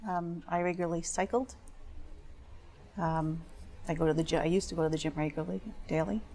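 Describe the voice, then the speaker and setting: Actress as injured female claimant, low voice, vocal fry, guarded hesitant answers, staged testimony. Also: fairly even intonation, a little fast, warm tone, close to an everyday voice. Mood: subdued, hesitant. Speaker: claimant being examined.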